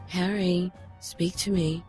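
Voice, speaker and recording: Casting: stately female immortal, grave and formal, lowish voice over music, fantasy audio drama. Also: steady tone, slow, a little mellow. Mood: solemn, commanding. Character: solemn, regal female immortal guardian of a champion. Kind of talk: audio drama narration and acting.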